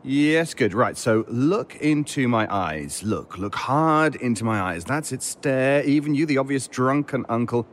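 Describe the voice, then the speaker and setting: Man as sinister bossy hypnotist, slow commanding delivery, lively pitch movement, horror-comedy audio skit. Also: natural voice. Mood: awkwardly friendly. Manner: deliberate, commanding. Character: sinister, bossy male hypnotist leading minions.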